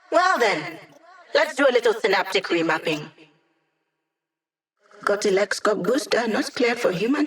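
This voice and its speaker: A woman's voice: mid-range voice, close to an everyday voice. Woman acting young female hacker, video game tech support, snarky, upbeat, playful, casual wry delivery. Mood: wry, helpful. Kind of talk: video game character voice lines.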